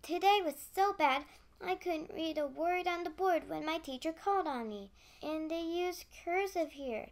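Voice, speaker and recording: A woman's voice: very high voice, normal speed. A female performer playing an earnest, homesick second-grade girl in a radio play. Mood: upset.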